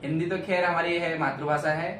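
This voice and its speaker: A man's voice: on the high side, fairly even intonation, brisk. A man acting as interviewer, affirming the answers and putting the next question to the audience. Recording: echoey room, far from mic, noisy.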